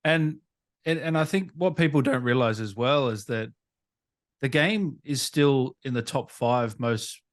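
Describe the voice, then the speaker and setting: A man's voice: mid-range voice, unhurried, dry recording. Man interviewing in a dry, close space. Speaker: interviewer, host. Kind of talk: casual interview chat.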